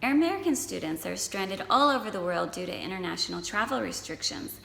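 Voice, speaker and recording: A woman's voice: medium pitch, expressive, a little fast, creaky, fairly bright tone, roomy sound, big-room sound, a little hiss or hum. A woman anchoring a student news program, reading scripted segments.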